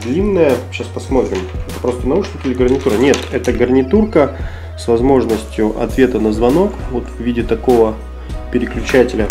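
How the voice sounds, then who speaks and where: A man with a slightly hoarse, husky voice from a cold narrates an unboxing in a chatty way, thinking aloud as he goes. His pitch sits in the middle range. Music plays clearly underneath the recording. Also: fairly quick, mellow. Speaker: tech reviewer. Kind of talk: casual unboxing commentary. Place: office desk indoors.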